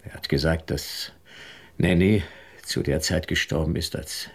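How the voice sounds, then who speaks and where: A man's voice: rumbling low, fairly even intonation, a little slow, husky, full character voice. An actor playing a weary, defensive husband pleading with his wife in a German radio drama.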